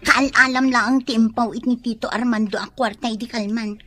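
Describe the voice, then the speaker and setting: An insistent, very high-voiced daughter. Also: female voice, steady tone, a little fast, a bit bright, soft background music. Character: young daughter, insistent and questioning with her mother. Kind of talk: radio drama acting.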